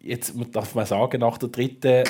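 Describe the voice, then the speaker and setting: A man's voice: medium pitch, fairly even intonation, moderate pace, dark tone, a little hiss or hum. A man with a Swiss German accent hosting a conversational radio interview, prompting his guests with enthusiasm. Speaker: radio talk show host.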